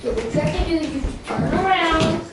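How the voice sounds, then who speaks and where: A woman's voice: extremely high pitch, quick pace. A woman with a young-sounding voice chatting casually and retelling an incident. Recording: noisy echoey large room.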